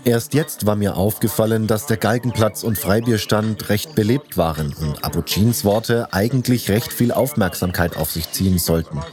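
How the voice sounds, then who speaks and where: A male narrator tells a fantasy story in the first person as scripted audiobook narration. His voice sits fairly low, with loud music underneath. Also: normal speed, few pauses.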